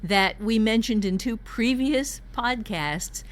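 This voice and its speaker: A woman's voice: a little high, slow. An older woman, a writing teacher, talking casually on a podcast in a candid, emphatic, salty way.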